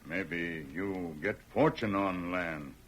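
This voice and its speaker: Slow, halting low-voiced scout. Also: male voice, a bit bright, heavily acted. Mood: sly, coaxing. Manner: halting broken English, clipped phrases. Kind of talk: 1940s radio western acting. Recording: noisy vintage radio recording.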